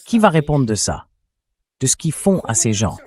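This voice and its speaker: A man's voice: mid-range voice, sing-song, normal speed, a little mellow, husky. A man reading a translated interview as a guest commentator, speaking bluntly and emphatically about military affairs.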